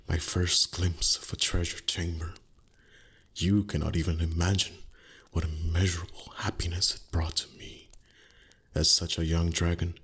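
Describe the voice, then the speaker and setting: An ancient, proud dragon, acted out by a man, tells of its past in an intimate ASMR roleplay. The voice is deep and extremely breathy and husky. It moves slowly and haughtily, savouring each word with little rise or fall. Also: sharp tone, exaggerated voice. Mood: savouring, reverent. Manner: slow, deliberate, haughty, savouring words. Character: proud, greedy, centuries-old male dragon reminiscing to a human.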